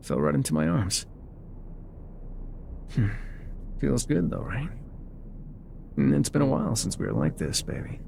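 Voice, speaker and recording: A man's voice: medium pitch, big pitch swings, unhurried, gravelly, pauses often, darkish tone, a little hiss or hum, exaggerated voice. A man playing an enigmatic, wry guide through dangerous wilds in an intimate ASMR roleplay, musing, teasing and often trailing off. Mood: wry, flirtatious.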